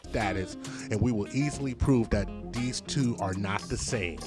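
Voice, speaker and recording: A man's voice: fairly low pitch, normal speed. A man giving opinion commentary in a played video, lecturing emphatically and hammering home each point. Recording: music bed under the voice.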